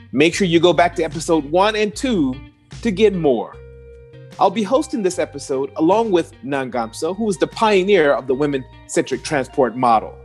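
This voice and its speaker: A man's voice: a little high, a little mellow. Man reading a series intro over guitar. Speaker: series host. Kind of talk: scripted intro narration. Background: guitar music.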